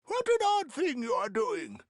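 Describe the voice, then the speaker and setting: A woman's voice: sits high, wildly swooping pitch, unhurried, slight background noise, exaggerated voice. A woman playing a playful, smug, long-lived hermit sage in a video game, talking in a teasing, aloof way. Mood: amused, dismissive. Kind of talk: video game story cutscene acting.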